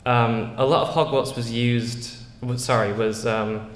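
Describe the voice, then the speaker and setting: A man's voice: mid-range voice, fairly even intonation, a little slow. Teenage boy, Yorkshire English accent, earnest school speech, reverberant hall. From England. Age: teens. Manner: earnest, reads from notes with small slips and fillers. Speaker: student giving a speech. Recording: echoey hall, long reverb.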